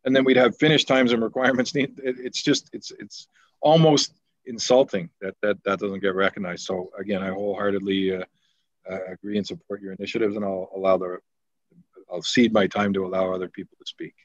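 A man's voice: fairly low pitch, little pitch movement, brisk, no echo. A Canadian man, a deputy mayor, speaking at length in a council meeting on a video call, earnest and rambling with many repeated words. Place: home office on a video call. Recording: slightly dull, dry video call audio.

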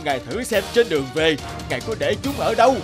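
Small nervous polite imp, cartoon, high voice, music behind. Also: male voice, big pitch swings, quick pace. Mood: persuasive. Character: small horned male forest imp, timid, polite and placating. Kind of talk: cartoon voice acting.

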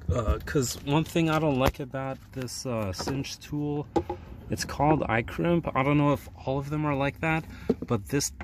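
Slow-talking man explaining a plumbing repair. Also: lowish voice, background noise. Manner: unhurried, many ums and uhs, hands-on explaining. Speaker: DIY repair vlogger. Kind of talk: casual how-to walkthrough. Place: indoors, cramped space under a sink. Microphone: handheld phone camera, first-person view.

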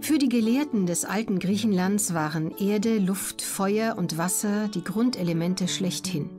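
A woman reads scripted educational narration for an audiobook in a measured, factual manner. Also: medium pitch, darkish tone.

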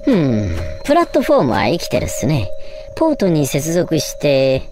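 A woman's voice: low-pitched, huge pitch swings, normal speed, music in the background. A woman playing a small, logical, polite robot sidekick in a video game, earnest and precise. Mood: analytical. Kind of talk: video game cutscene acting.

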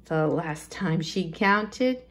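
A woman with a slightly low voice reads a picture book to children. Her pitch moves in lively swings and she takes her time over each line. She phrases expressively, stressing rhyme and rhythm like a practised storytime librarian. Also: very slow.

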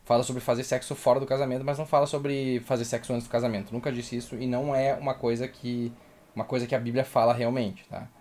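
A man's voice: mid-range voice, little pitch movement, fast, darkish tone. A man with a southern Brazilian accent chatting casually and bluntly as co-host of a livestream. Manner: blunt, colloquial. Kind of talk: casual commentary chat. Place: small home room indoors, webcam livestream.

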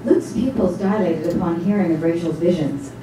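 A woman playing the storyteller in a staged dramatic reading, narrating in a measured, literary way. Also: fairly low pitch, lightly acted. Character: observant storyteller narrating a bar scene. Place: small stage before a seated audience. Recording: very echoey hall, distant mic, faint noise.

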